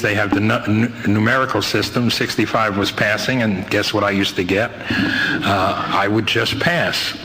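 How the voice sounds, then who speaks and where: An older man with a New York accent giving a rambling, halting, wryly humorous personal testimony to a meeting, on a noisy old amateur tape. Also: on the low side. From Brooklyn, New York, United States. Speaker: guest speaker at a recovery meeting. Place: meeting hall, speaking to a group.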